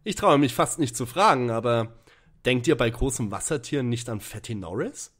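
A man's voice: mid-range voice, expressive, moderate pace, fairly bright tone. A man playing a sarcastic, grumbling young detective with a sceptical manner in an audio drama parody. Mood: sardonic. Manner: dry, sceptical, sardonic.

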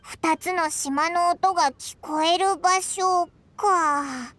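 A woman's voice: drawn-out words, bright, forceful tone, heavily acted. A woman voicing a tiny, childlike fairy companion in a Japanese anime game, brash and exclamatory with a boyish way of speaking. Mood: puzzled. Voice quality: squeaky, childlike. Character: tiny chirpy childlike floating fairy companion, boastful, impatient. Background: game music.

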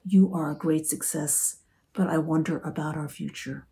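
A woman's voice: sits low, steady tone, moderate pace, creaky, warm tone. A woman doing a timid, plaintive young woman. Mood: worried. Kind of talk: audiobook character voice.

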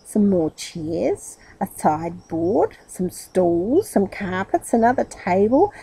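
A middle-aged woman with a husky voice reads a children's story aloud in expressive narration. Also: mid-range voice, slow, poor audio. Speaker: storybook reader.

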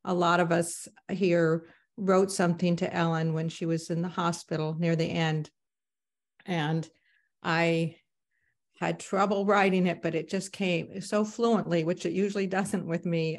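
Woman, nervous, emotional, poem reading, video call, somewhat low voice. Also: little pitch movement, a little slow. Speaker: guest speaker. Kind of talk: reading her own poem.

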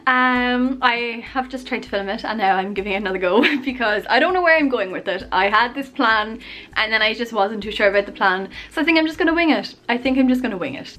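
A woman in her twenties rambling casually to camera in her bedroom, chatty and self-deprecating, her voice a little hoarse. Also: a little high, a bit bright. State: losing her voice, coughing. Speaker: YouTuber. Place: bedroom, indoors. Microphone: camera on tripod at arm's length.

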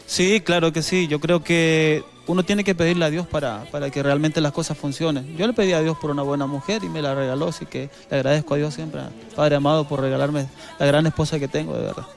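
Soft-spoken Chilean man on a TV couch. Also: fairly high pitch, steady tone, creaky, soft background music. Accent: Chilean Spanish. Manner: earnest, soft-spoken, halting with restarts. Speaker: guest singer. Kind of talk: casual chat. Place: TV studio set. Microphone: handheld mic.

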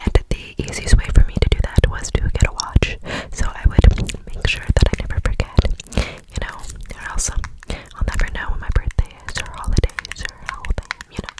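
ASMR roleplay whisperer: fully breathy, close-miked, repetitive trigger-word delivery. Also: a little fast, hardly any pauses, rough recording. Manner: repeats words and phrases over and over. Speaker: ASMR creator. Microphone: close ASMR microphone.

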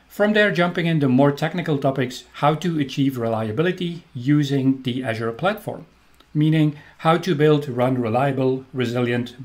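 Man, Belgian accent, middle-aged, technical trainer, enthusiastic, home webcam recording. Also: mid-range voice. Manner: upbeat, enthusiastic, with frequent um fillers.

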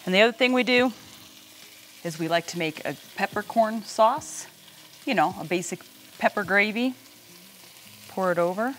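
A woman's voice: mid-range voice, normal speed, pauses often, a bit bright, slight background noise. A middle-aged woman hosting a casual cooking demonstration in a plainspoken, folksy way, recorded in a home kitchen. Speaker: cooking host.

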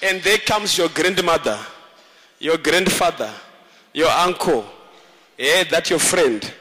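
A man preaching a fiery sermon, shouting and calling out in a sing-song, emphatic way. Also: fairly high pitch, slow pace, big-room sound. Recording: live sermon with loud congregation.

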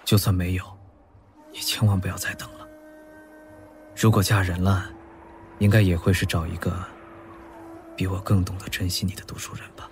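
Man playing a low-voiced, melancholy young statesman. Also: level tone, moderate pace, long pauses, soft background music, close to an everyday voice. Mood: regretful, hushed. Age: young adult. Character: melancholy young male chief minister, restrained and regretful. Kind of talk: audio drama acting.

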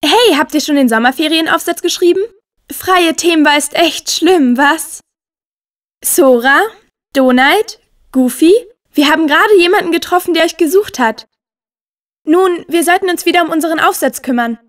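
A woman playing a friendly, upbeat teenage girl in a dubbed video game, with an earnest, expressive delivery. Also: extremely high pitch, moderate pace. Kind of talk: German video game dubbing.

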